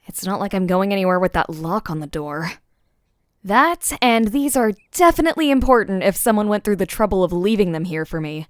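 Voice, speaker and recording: A woman's voice: mid-range voice, big pitch swings, fairly quick, no echo, clean studio sound, lightly acted. A woman acting a brave but uneasy explorer who talks to herself in an audio drama. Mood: reasoning, resolved. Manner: talking to herself, hesitant.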